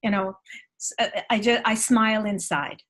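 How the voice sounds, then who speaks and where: A woman's voice: medium pitch, fairly even intonation, moderate pace, fairly bright tone. A woman in her seventies casually reflecting on her experience as a participant. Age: 70s. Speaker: trip participant giving a testimonial. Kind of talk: casual personal recollection.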